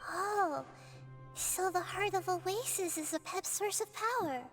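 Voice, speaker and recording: A young girl goddess who is kind, curious and reassuring. Her voice sits very high and childlike. Game music plays clearly under her lines. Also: measured pace. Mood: earnest. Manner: soft, earnest, reassuring. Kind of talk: game cutscene voice acting.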